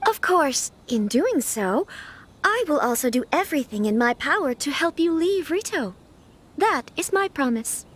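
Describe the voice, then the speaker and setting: A woman's voice: high voice, very animated, moderate pace, a bit bright. A woman playing a refined, resolute young noblewoman in a video game, speaking formally and with composure. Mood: earnest, confiding. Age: young adult.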